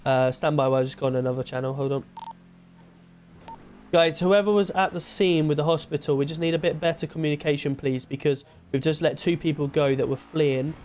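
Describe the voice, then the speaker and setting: A British man playing a police officer in a game roleplay, asking questions and giving brisk orders over the radio. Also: on the high side, steady tone, a little mellow, slight background noise.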